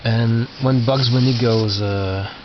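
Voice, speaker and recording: A man talks through his collection in a casual, halting way, muttering asides to himself as he goes. His mid-pitched voice sits back from the mic in a dull recording with very noticeable noise. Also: steady tone. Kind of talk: casual unscripted show-and-tell commentary. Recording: lo-fi, noisy, distant mic with clicks.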